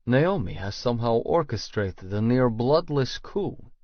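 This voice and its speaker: Man, scripted novel narration, mid-range pitch, no echo, somewhat lo-fi. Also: animated, measured pace. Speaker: audiobook narrator. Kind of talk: scripted first-person fiction narration.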